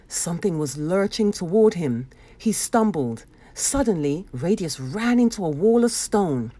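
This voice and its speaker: A woman reading a children's storybook aloud with dramatic, animated expression. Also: on the low side, a little slow. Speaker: storybook reader.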